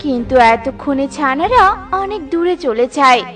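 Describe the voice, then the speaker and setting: A woman's voice: high-pitched, expressive, normal speed, fairly bright tone, faint music behind. A woman narrating a scripted children's cartoon story.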